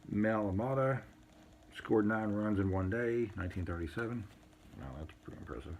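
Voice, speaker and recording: A man casually showing a collection on an unscripted video, reading items out in short, halting phrases with muttered asides. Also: lowish voice, darkish tone, slight background noise. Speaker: collector showing vintage baseball cards. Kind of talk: casual unscripted show-and-tell, reading out cards.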